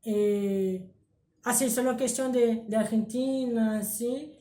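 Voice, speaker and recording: A man's voice: very high voice, fairly even intonation, slow, mellow. Hesitant young man, Brazilian accent. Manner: hesitant, many fillers, trailing off. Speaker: guest. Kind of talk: casual interview answers. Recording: echoey room.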